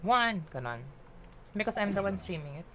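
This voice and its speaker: Filipino girl streaming, super lively up-and-down voice, bright, faint hiss behind. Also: medium pitch, moderate pace. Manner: mixes English and Filipino, playful, teasing asides. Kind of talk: casual livestream chat while gaming.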